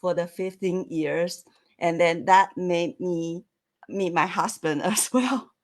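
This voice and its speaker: A woman guest in a casual online chat, speaking hesitantly with frequent small laughs. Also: fairly low pitch. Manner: slow, hesitant, laughs often. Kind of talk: casual live chat interview. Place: lived and worked in the United States.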